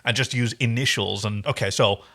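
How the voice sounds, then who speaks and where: A man's voice: on the low side, steady tone, normal speed, creaky, bright, forceful tone. A man co-hosting a podcast, recapping an episode in casual conversation, enthusiastic and often searching for his words. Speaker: podcast co-host.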